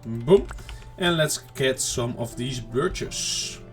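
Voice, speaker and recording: A man's voice: mid-range voice, lively intonation, extremely slow, music in the background. Chatty man with a scratchy throat. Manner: rambling, chatty self-talk to viewers. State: throat slightly off, clearing it often. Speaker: gaming channel host. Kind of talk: casual let's-play commentary.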